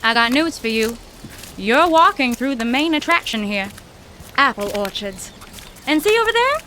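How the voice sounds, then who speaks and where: A bright, high-voiced small-town woman, acted by a woman for an audio drama. She is plucky and folksy, with old-fashioned slang and a very animated melody, over faint background noise. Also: moderate pace. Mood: breezy, teasing. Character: plucky, brisk small-town woman, Sonya, loyal but alarmed.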